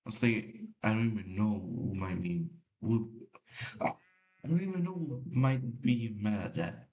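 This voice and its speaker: A man whose first language is Spanish, giving casual, halting gameplay commentary with a deep voice and many fillers. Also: a little mellow. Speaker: gamer.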